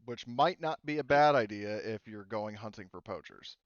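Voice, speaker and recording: Low-voiced, hesitant male game master, slightly muffled. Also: moderate pace, no echo. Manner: hesitant, rambling delivery full of trailing thoughts. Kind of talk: casual talk running a tabletop roleplaying game online.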